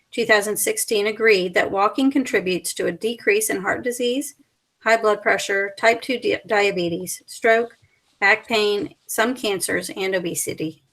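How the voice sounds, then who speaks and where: Woman in her forties, mid-pitched, steady student presenter reading a script. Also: low-quality recording. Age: 40s.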